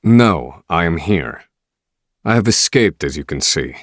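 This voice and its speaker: A man voicing a grave, literal-minded scholar of magic in a video game, speaking in a formal, measured and stern way. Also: low-pitched, very animated, full character voice. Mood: composed, insistent. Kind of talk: dramatic video game voice acting.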